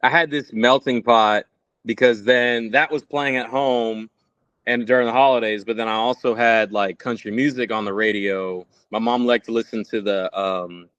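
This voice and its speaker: Male musician in his thirties, smooth resonant voice, casual interview guest, close dry but slightly lo-fi sound. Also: medium pitch, steady tone, normal speed, fairly bright tone, no room sound. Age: 30s. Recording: slightly dull, lo-fi audio.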